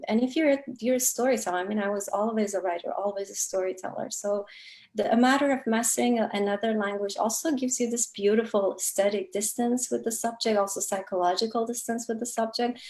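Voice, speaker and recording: A woman whose first language is Kurdish, an author answering interview questions in a reflective, earnest way with frequent pauses and fillers. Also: fairly high pitch, steady tone, a little mellow. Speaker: author guest.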